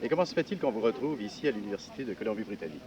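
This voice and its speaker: A man with a low voice asks formal, polite interview questions at a quick clip. He sits a little off the mic, and the sound is dull with a faint hiss, a 1960s radio archive. Outside noise is faint behind him. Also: expressive, far from the mic, a crowd in the background.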